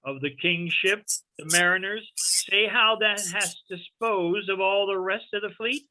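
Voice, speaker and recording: A woman's voice: mid-range voice, sharp tone, poor audio. A woman playing a commanding, imperious sorcerer in a Shakespeare reading, declaiming theatrically to a servant spirit. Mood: businesslike. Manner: slow, stern, theatrical declamation.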